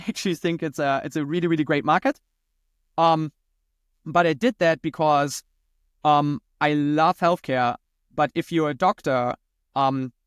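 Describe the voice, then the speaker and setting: A man's voice: on the high side, dry recording, clean studio sound. A man from Germany with a German accent, answering conversationally as a founder interviewed on a podcast. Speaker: podcast guest, company founder and CEO.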